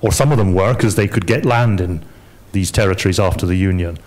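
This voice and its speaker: Man, low-pitched, lecturing. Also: brisk, a little mellow. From Scotland. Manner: lecturing, digressive, emphatic. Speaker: panelist historian. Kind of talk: academic panel discussion.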